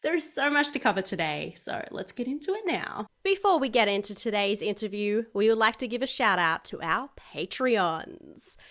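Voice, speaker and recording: A young woman hosting a casual interview over a video call, chatty and quick to laugh. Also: mid-range voice, sing-song, a bit bright. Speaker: host.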